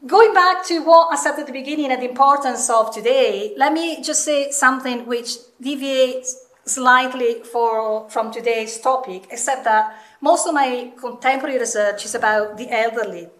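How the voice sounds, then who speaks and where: A middle-aged woman with a Southern European accent addresses a seminar in a candid, emphatic way. Her voice sits fairly high. She speaks at a stand mic in a room with a strong echo. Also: moderate pace, a bit bright. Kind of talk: informal public talk. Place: indoor seminar room with seated audience. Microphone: microphone on a stand. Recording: echoey room, some distance from mic.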